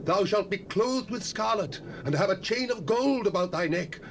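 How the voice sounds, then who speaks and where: A man's voice: high voice, lively intonation, moderate pace. An actor playing a proud but troubled king in a dramatized scripture recording, formal and commanding. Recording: cassette recording with faint hiss.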